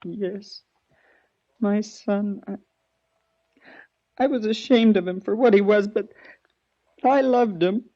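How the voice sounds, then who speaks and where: A woman's voice: mid-range voice, slow pace, pauses often, no room sound. An actress playing a grieving, guarded middle-aged mother in a weary, mournful 1940s radio drama manner. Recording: dull, dry vintage 1940s radio recording.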